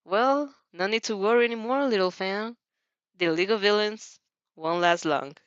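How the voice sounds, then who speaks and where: A woman voicing a young male winged hero in an anime fan story, soft, protective and slyly teasing. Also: medium pitch, normal speed, clean tone, dry recording, lo-fi sound, lightly acted. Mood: quietly threatening. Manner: soft, reassuring, slightly teasing.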